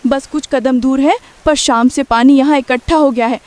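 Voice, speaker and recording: A woman's voice: high voice, fairly even intonation, normal speed, a little mellow, lightly acted. A woman playing a worried, caring poor village mother in a Hindi cartoon story, earnestly instructing and protecting her family. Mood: worried, urgent.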